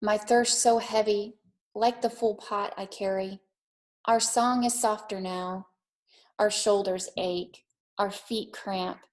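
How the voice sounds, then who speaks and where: A woman's voice: mid-range voice, fairly even intonation, slow pace. Female teacher for a kids' read-aloud and lesson, simple, encouraging, child-directed delivery. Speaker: teacher reading aloud and setting a challenge for young children.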